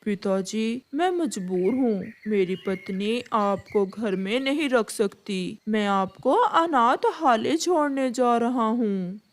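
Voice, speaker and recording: Young crow son for a cartoon, played by a woman: submissive, troubled, high-pitched and unhurried. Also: lively intonation. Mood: reluctant. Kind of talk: Hindi cartoon story acting.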